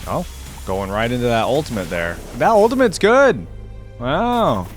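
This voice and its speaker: Male gaming reaction host, young adult. Average pitch, animated intonation, casual and rambling, light music bed. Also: a little slow. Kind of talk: casual gaming commentary, thinking aloud.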